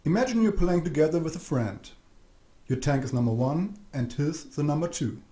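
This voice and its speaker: A man with a slightly high-pitched voice narrates an instructional video. He reads from a script in a measured, didactic way, speaking straight to the listener. The voice-over sounds roomy, with noticeable echo. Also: expressive, warm tone.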